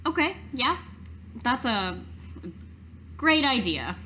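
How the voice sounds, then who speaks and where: A woman's voice: sits high, sing-song, slow pace, bright, forceful tone, slight background noise. A woman playing a tabletop game, improvising casually in character.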